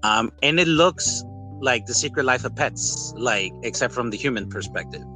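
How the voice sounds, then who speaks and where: A man in a casual, rambling podcast-style chat reviewing a film, with animated retellings. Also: medium pitch, moderate pace, over music, poor audio. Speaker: podcast host.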